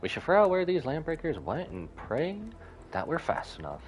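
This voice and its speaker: A man's voice: on the high side, huge pitch swings, fairly quick, a little hiss or hum. A man live streaming a game, casually commenting and reading dialogue aloud.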